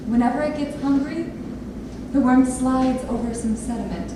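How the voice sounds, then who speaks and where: A woman's voice: on the high side, lightly acted. An actress playing an earnest, intense grown daughter of an abusive father in a staged play reading. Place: theatre lab room with an audience area. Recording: very echoey room, distant mic, noisy.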